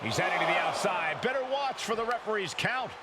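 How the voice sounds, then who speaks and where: A man calling a video game wrestling match as an urgent, excitable play-by-play announcer. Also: sits high, brisk. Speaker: wrestling commentator. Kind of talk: urgent play-by-play sports commentary. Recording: loud arena noise behind voice.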